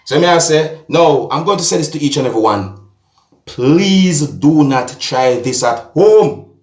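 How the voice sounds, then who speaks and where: A Jamaican man commenting on video clips for his vlog, talking deliberately and addressing his viewers over and over. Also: a little high, expressive, roomy sound. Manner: repetitive, addresses "viewers and subscribers", slow and deliberate. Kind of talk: casual commentary.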